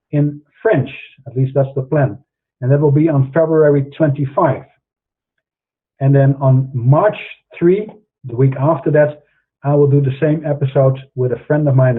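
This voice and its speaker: A man's voice: on the high side, husky, phone-quality sound. A middle-aged man with a Dutch accent, hosting an online show and preaching earnestly to his viewers, with many fillers and restarts. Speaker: host. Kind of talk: monologue to camera in an online show.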